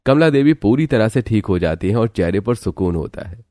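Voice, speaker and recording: A man's voice: on the low side, animated, brisk, warm tone, no echo, studio quality. A man narrating a scripted cartoon family story.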